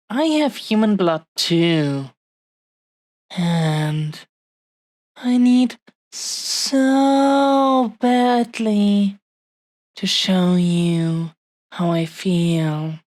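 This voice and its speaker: A woman acts out an adoring dragon-blooded young woman in an intimate romance roleplay. Her speech is extremely slow and tender, lingering over short phrases at a middle pitch. She is possessive yet affectionate, and the sound is dry, with hardly any room on it. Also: darkish tone, no echo. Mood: earnest. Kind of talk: intimate romantic audio roleplay addressed to the listener.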